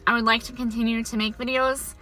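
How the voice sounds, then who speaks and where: A young adult woman talking to camera about her life after cancer, her voice set high by a mouth prosthetic, candid and encouraging. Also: level tone, quick pace, bright, forceful tone, poor audio. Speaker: vlogger sharing her cancer survival story. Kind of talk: personal talking-to-camera vlog.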